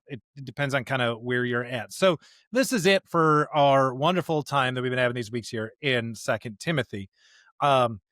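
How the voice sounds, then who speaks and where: A man co-hosting a podcast, casually discussing scripture in an informal, animated way with everyday slang and playful paraphrase. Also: on the high side, dry recording, clean studio sound. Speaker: podcast co-host.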